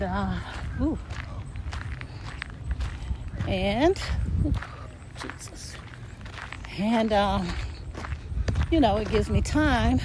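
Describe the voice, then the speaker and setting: An older woman chatting casually while walking uphill outdoors, a bit hoarse and winded, rambling with lots of fillers. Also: medium pitch, background noise. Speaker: vlogger. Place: outdoors in a park field.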